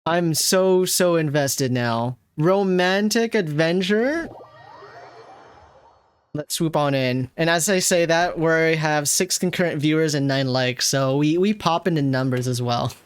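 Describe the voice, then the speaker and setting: A man's voice: on the high side, measured pace, smooth, dry recording. A man doing casual livestream reaction commentary, gushing playfully over a video game. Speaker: game streamer. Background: game music.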